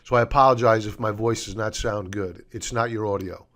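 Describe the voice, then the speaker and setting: A hoarse, middle-aged man, losing his voice, hosting a solo stock-market recap over charts and walking viewers through his reasoning. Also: fairly low pitch, fairly even intonation, a bit bright. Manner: deliberate, explanatory, addresses viewers as folks. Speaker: market commentary host.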